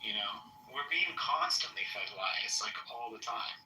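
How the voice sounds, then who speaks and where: A man from the United States hosts a political podcast in a halting, rambling way. His voice is very bright and sits mid-range. The audio is echoey and distant, with a faint hiss underneath. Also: fairly low pitch, expressive. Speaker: podcast host.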